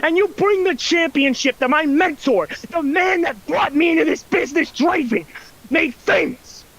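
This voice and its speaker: A man with a strikingly high voice delivers a heated wrestling promo. He is profane and aggressive, taunting his rival with every line. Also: normal speed, a bit bright, highs cut off. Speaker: online wrestling league competitor.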